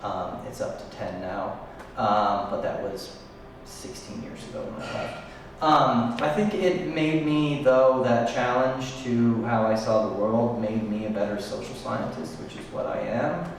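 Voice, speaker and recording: A middle-aged man speaking as a panelist, reflective and halting with many fillers. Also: medium pitch. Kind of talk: panel talk to a live audience. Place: large room at a live event. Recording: echoey hall, speaking from far off, noisy.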